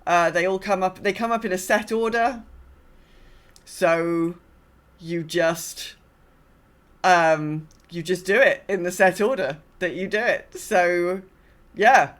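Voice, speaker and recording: A woman co-hosting a casual gaming podcast, speaking in a wry, understated and somewhat hesitant way. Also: on the low side. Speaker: podcast co-host.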